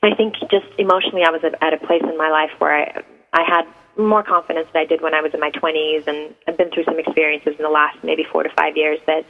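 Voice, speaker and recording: A woman in her thirties from Iran, a native Persian speaker, answering radio interview questions hesitantly and reflectively, with many ums. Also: low voice. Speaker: guest poet. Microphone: phone. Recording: tinny phone line.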